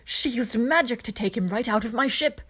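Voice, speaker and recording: Woman voicing a hoarse, frightened male alien commander. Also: fairly high pitch, moderate pace, a bit bright, pitched above the performer's own voice. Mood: nervous, strained. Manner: pleading, stammering, strained. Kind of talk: dramatized fan fiction reading.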